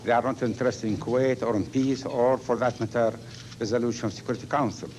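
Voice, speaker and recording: A man speaking as a diplomat, making a formal statement in a deliberate, halting way. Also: mid-range voice, fairly even intonation, darkish tone, slight background noise. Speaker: diplomat, ambassador.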